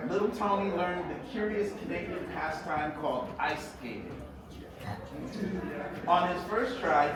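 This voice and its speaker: A man with a fairly high voice reads a children's story aloud at a slow, deliberate pace. His delivery is expressive and theatrical, full of dramatic pauses. He sounds distant from the mic in a very echoey, noisy room. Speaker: author reading own book. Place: echoey indoor event space.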